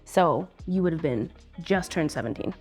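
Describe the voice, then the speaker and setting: A young woman in her twenties talks in a chatty, upbeat way, earnest about what she says. Her voice sits a little low for a woman. Faint music plays behind her. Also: animated, normal speed, a little mellow. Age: 20s. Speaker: co-host of couple channel. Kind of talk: casual chat.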